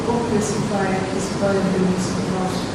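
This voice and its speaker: A woman with a Brazilian Portuguese accent giving an academic panel talk as a professor, explaining haltingly and often checking for agreement with short tags. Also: monotone. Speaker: university professor and researcher. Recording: echoey, reverberant room, distant mic, noisy rumble.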